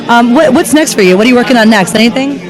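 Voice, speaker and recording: A woman's voice: a little high, expressive. A woman hosting interviews at a convention, speaking in an enthusiastic, gushing way. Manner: gushing, quick reactions. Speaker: interviewer host. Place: convention floor. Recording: noisy crowd around. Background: crowd.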